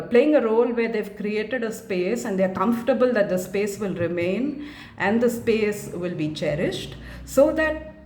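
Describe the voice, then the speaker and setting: A woman's voice: medium pitch, darkish tone. Frank woman in her forties, echoey room. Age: 40s. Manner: thoughtful, frank, many "uh" and "um" pauses. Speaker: mental health advocate. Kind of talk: reflective video monologue.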